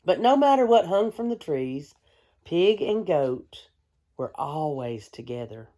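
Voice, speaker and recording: A woman, a kindergarten teacher, reading a storybook aloud to young children in a gentle, expressive way. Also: low voice. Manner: gentle, hushed, expressive storytelling with deliberate pauses; addresses young listeners with simple questions.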